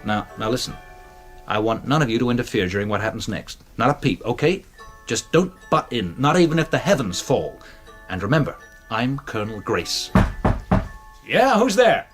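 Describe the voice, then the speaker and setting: An actor in a radio drama playing a theatrical stage magician with showy, commanding patter. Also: male voice, mid-range voice, sing-song, moderate pace, faint music behind, natural voice. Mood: intent, commanding. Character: theatrical stage magician re-enacting a murder for onlookers.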